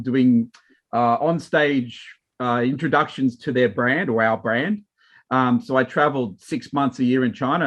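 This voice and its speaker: A man's voice: mid-range voice, a bit bright, lo-fi sound. An Australian man, a wine and marketing guest, chatting casually and rambling with many restarts and fillers. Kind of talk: casual panel chat.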